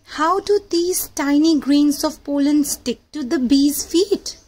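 High-pitched woman reading slowly to children. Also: a little mellow. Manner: teacherly, addressing children with questions. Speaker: storybook narrator for children. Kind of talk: read-aloud children's story narration.

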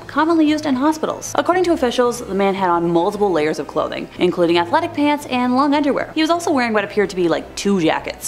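Animated young woman hosting a countdown. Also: mid-range voice. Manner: chatty, self-deprecating asides. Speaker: host. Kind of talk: scripted countdown narration with asides. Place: in front of a green-screen backdrop.

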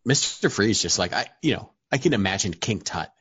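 A man's voice: fairly low pitch, animated, brisk, fairly bright tone, a little hiss or hum. A man co-hosting a podcast, chatting casually and rambling with many fillers. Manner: rambling, hesitant, lots of fillers. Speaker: podcast co-host. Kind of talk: casual chat.

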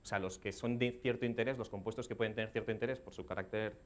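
A man in his thirties answers questions after his conference talk, speaking rapidly through a headset mic. His voice sits slightly low, and he restarts phrases as he works through technical points. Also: steady tone. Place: conference hall, at a lectern.

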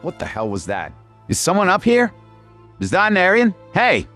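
A man's voice: high-pitched, wildly swooping pitch, normal speed, fairly bright tone, soft background music, heavily acted. A man voicing a cynical, sarcastic, impatient American zombie apocalypse survivor in video game lines. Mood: urgent.

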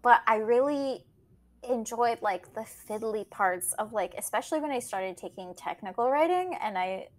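A woman's voice: a little high, expressive, moderate pace. A woman whose first language is Portuguese, talking casually as an editor and guest in an interview, laughing often and trailing into fillers.

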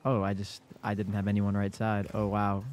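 A man's voice: fairly low pitch, completely flat delivery, moderate pace, a little mellow, a little hiss or hum. A young man with a congested voice giving casual commentary over his own gameplay in a laid-back play-by-play. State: congested from a cold. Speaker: gaming YouTuber.

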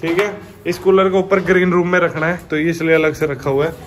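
A man's voice: fairly high pitch, fairly even intonation, fairly quick. Young male vlogger, casual house-tour chatter, handheld camera, faint noise. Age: young adult. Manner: chatty, addresses viewers as "bhai". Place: indoors in a family home, walking room to room. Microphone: handheld action camera.

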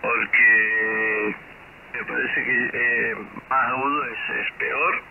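A man's voice: medium pitch, flat delivery, slow, creaky. A man, chatting casually as an amateur radio operator over shortwave. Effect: shortwave radio transmission. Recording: muffled shortwave radio with loud static.

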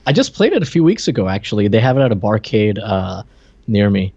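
A man's voice: fairly low pitch, sing-song, a little fast, gravelly, a little mellow, slightly rough, dry recording. A middle-aged man co-hosting a podcast, chatting casually into a close desk microphone on a slightly dull stream. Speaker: podcast co-host. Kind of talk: casual chat on a film review stream. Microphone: desk microphone close up, video call.

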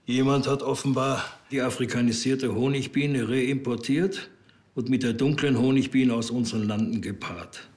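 An actor playing a learned, pedantic bee expert who lectures formally and precisely in a TV comedy. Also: male voice, mid-range voice, moderate pace, a little mellow, lightly acted. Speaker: actor in a police comedy series. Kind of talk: scripted TV drama dialogue.

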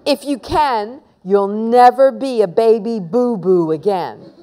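A woman voicing a mischievous, scheming young boy in a children's audiobook, loud, taunting and exclamatory. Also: a little high, slow pace.

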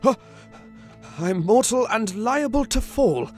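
A man's voice: very high voice, expressive, slow, over music, heavily acted. A man playing an old miser in an audio drama, fearful and wistful, stammering and exclaiming.

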